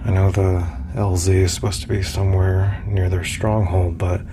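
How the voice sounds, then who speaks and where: An actor playing an awkward, earnest space commander who stammers and mutters nervously to himself in an audio drama. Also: male voice, little pitch movement, normal speed, noisy background, close to an everyday voice. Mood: wry, unsure. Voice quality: low, gravelly. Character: awkward, earnest space commander, self-deprecating emissary, smitten. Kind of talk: immersive first-person audio drama.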